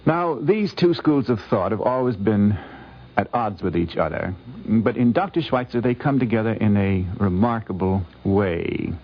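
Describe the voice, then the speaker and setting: A man with a low, gravelly voice speaks as a scholar explaining ideas. His phrasing is deliberate and emphatic, like a lecture. The recording is a dull, vintage radio broadcast. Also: lively intonation. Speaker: guest scholar commenting. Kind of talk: considered explanatory commentary.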